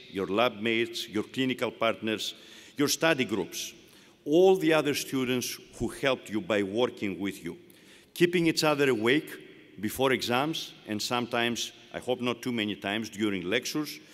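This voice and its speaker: A man's voice: mid-range voice, animated, fairly bright tone. Greek-accented man speaking in a big hall. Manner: measured, rhetorical, phrase-by-phrase delivery. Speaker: university leader giving commencement address. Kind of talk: formal commencement speech. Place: large hall. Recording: long reverb of a large hall.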